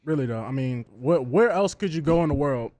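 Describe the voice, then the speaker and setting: A man co-hosting a sports radio show, talking in a relaxed, colloquial way and chiming in with agreement. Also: a little high, a little fast, no echo. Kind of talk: casual sports talk chat.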